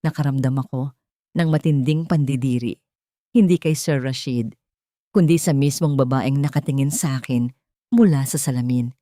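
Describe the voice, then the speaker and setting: A woman narrating a scripted confession story in the first person, with solemn, emotive, deliberate phrasing. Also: rumbling low, dark tone, no room sound, studio quality.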